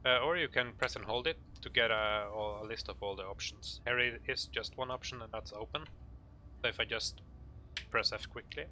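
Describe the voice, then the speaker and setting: A man with a Norwegian accent giving an informal game tutorial in English, speaking hesitantly in halting phrases. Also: mid-range voice, background noise. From Norway. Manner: hesitant, halting delivery with pauses. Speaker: video tutorial narrator.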